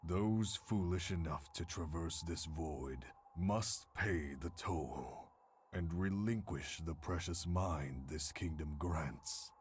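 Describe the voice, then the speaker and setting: A man's voice: sits low, slow, a little hiss or hum. A man playing a solemn ancient voice of decree in a game, grave, stately and ominous. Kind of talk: scripted game narration.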